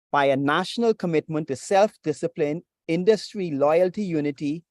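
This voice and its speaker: A preacher from the Bahamas, teaching in a measured, deliberate way over a video call. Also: medium pitch, darkish tone, dry recording. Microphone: video call with screen share.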